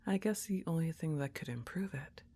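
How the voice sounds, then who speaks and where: A woman playing a laid-back, teasing young goth roommate in an affectionate ASMR roleplay, soft-spoken and often slipping into whispers. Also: deep voice, expressive, brisk, husky. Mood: soothing, intimate.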